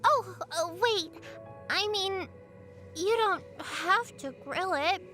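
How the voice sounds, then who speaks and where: A woman's voice: very high voice, expressive, slow pace, sharp tone, full character voice. A woman voicing a sweet, earnest little girl in an anime game, caring, innocent and chatty. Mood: flustered, eager. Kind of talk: anime game character voicelines. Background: background music.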